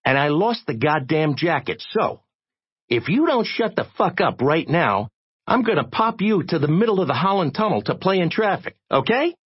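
A man's voice: deep voice, lively intonation, moderate pace, hardly any pauses, slightly rough, dry recording, highs cut off, pitched above the performer's own voice. A man voicing a battered, sardonic investigator in an audiobook, speaking in a blunt, weary, tough way. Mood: irritated.

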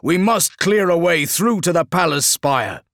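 A male narrator plays a commanding space marine captain in the thick of battle. He barks military orders in a raised, high-pitched shout, forceful and dramatic, in a dry audiobook recording. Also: animated, a little slow, dry recording. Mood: urgent, commanding. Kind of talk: dramatic audiobook character acting.

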